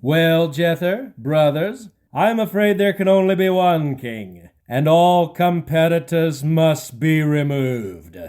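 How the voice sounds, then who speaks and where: A man's voice: on the high side, expressive, takes it slowly, hardly any pauses, darkish tone. A man playing a scheming, ruthless claimant to a throne in an audio Bible drama, with feigned courtesy. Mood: coolly menacing.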